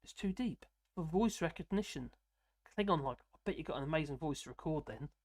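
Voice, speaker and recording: Man, UK, light high-ish voice, casual live chat, rambling, chuckles a lot. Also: lively intonation, brisk. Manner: rambling, self-deprecating, chuckling often. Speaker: live stream host.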